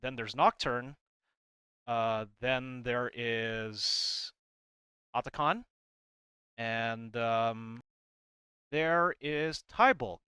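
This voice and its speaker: Guy doing casual lore commentary, slow and kinda halting, clear bright voice. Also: mid-range voice, no room sound. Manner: hesitant, rambling, informal with swearing. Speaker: video host explaining game lore. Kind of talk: casual commentary on a comic, reading parts aloud.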